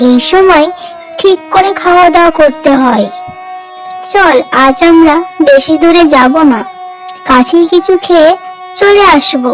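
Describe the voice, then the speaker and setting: Lady doing a little cartoon bird, super high childlike voice, earnest, faint music behind. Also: poor audio. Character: small anxious and caring cartoon songbirds, young and childlike.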